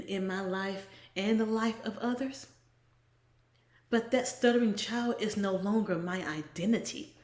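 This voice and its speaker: A woman giving an earnest, measured inspirational talk as a devotional blogger, often trailing off soft and breathy at the ends of phrases. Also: medium pitch. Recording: echoey room.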